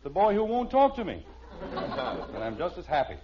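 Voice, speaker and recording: Exasperated sarcastic American dad, old-radio sound. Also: male voice, a little high, wildly swooping pitch, normal speed. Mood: irritated. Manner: dry sarcasm, clipped demanding questions. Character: exasperated, sarcastic middle-aged suburban father. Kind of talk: 1950s radio sitcom acting. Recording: dull, noisy old radio recording.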